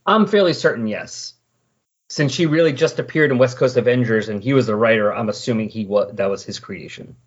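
A man with a husky voice chatting casually on a podcast, explaining and recapping in a rambling way. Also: medium pitch, a little fast. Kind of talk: casual comic book discussion. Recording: dull lo-fi voice recording.

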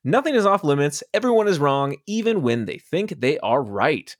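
A man hosting a casual podcast, jokey and animated, laughing often. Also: on the high side, normal speed, no room sound, clean studio sound. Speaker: podcast host.

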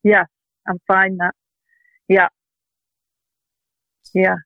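An older woman artist talking in a casual interview, reflective and earnest, pausing with soft ums, heard over a phone call. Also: medium pitch, little pitch movement, no echo. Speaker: artist guest. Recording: tinny dry phone line.

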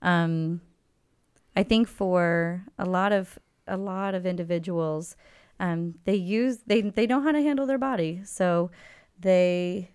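A woman's voice: lowish voice, slow, darkish tone, clean tone. A woman therapist on a chat show, speaking earnestly and emphatically about her clients. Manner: earnest, emphatic, agreeing often. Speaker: therapist and guest. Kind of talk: casual chat, explaining her work.

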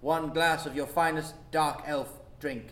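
A man playing a grandiose, pompous dark elf rogue in a tabletop fantasy game. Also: fairly high pitch, steady tone, unhurried. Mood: bold.

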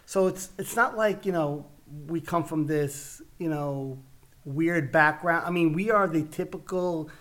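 A man's voice: a little high, measured pace, fairly bright tone. A man with a Brooklyn New York accent, co-hosting a casual podcast chat, talking earnestly and often repeating words as he finds his point. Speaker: podcast co-host.

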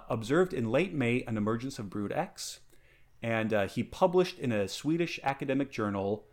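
A man co-hosting a podcast, talking in a casual, excitable way that jumps up in pitch when something amuses him. He restarts sentences often and pads them with fillers. His voice sits at a middle pitch. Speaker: podcast co-host. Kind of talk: casual chat.

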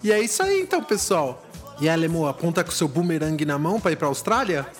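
A man's voice: fairly high pitch, big pitch swings, quick pace. A man hosting a casual music podcast, playful and teasing with his co-host.

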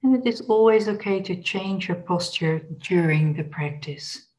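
A woman leading a guided body scan meditation in a gentle, unhurried, instructional manner. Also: mid-range voice, poor audio.

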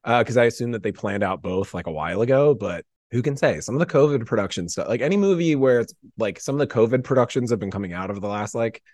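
A man chatting casually as a podcast guest in a rambling, animated, slangy way. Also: mid-range voice, fast, hardly any pauses, no room sound. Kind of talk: casual chat.

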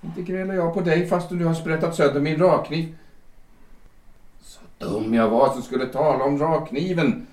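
An actor playing a worn-out old schoolteacher who pleads and apologizes in a rambling, half-asleep way, recorded as an audio drama. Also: male voice, medium pitch, a little mellow, lightly acted. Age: older. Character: weary old schoolteacher man, anxious and pleading. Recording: roomy echo, distant mic.

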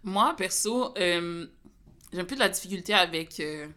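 A woman's voice: fairly low pitch, expressive, moderate pace, pushed and bright. A woman, talking bluntly with slang and English words in a casual chat. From Quebec.

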